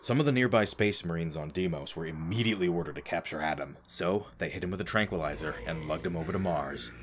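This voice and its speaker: A man with a low voice narrates a dramatic story in a wry, informal way. Faint ambient noise sits behind his voice. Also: quick pace.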